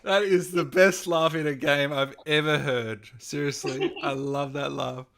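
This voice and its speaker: A man's voice: fairly high pitch, steady tone, measured pace, vocal fry, a bit bright, a little hiss or hum. A man hosting a casual livestream interview, matey and encouraging with his guest and viewers.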